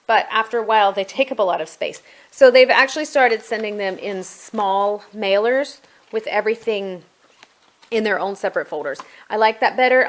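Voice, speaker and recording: A woman's voice: mid-range voice, a little hiss or hum. Middle-aged woman giving a casual walkthrough. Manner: conversational, explanatory. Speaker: homeschooling parent reviewer. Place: at home.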